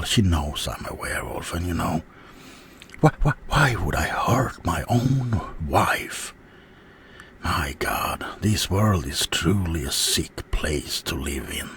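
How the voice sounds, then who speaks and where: A man voicing a sulky, offended male werewolf in an ASMR roleplay, halting and aggrieved, often dropping into whispers. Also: low voice, animated, a bit bright, full character voice. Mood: hurt, aggrieved. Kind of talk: ASMR character roleplay monologue.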